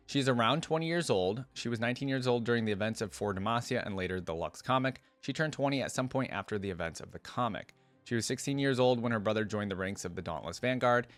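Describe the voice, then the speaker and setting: A man's voice: lowish voice, dry recording. A man hosting a reaction video, reading aloud and adding casual commentary.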